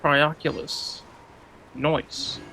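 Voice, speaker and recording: A man's voice: on the high side, little pitch movement, drawn-out words, gravelly, pushed and bright, slight background noise, poor audio. A man giving casual, reactive gameplay commentary, talking to himself as he plays. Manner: reactive, swearing, talks to himself. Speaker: video game streamer. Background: game music.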